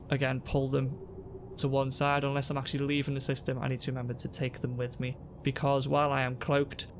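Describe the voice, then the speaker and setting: A young man with a sore throat and a congested voice, calmly explaining a game to viewers on a headset mic. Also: medium pitch, slight background noise. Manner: relaxed, explanatory, talks to viewers. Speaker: gaming youtuber. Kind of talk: casual gameplay tutorial. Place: home room at a desk.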